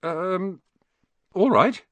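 A man's voice: high-pitched, very slow, fairly bright tone, no room sound, highs cut off, pitched above the performer's own voice, full character voice. A man voicing a cheeky, blunt young schoolboy in a children's audiobook, with an offhand, emphatic way of talking. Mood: wary, awkward.

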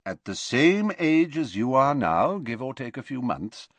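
A man voicing a calm, grave elderly wizard headmaster in an audiobook, quiet and measured. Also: mid-range voice, dry recording, voice pushed up. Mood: measured, serious. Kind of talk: audiobook character voice.

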